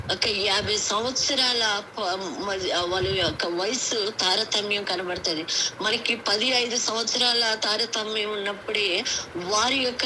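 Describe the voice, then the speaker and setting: High-voiced woman preaching, noisy recording. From southern India. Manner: halting, with repeated words and restarts. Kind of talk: devotional Bible teaching, extempore. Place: prayer meeting conference call. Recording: noisy conference phone call.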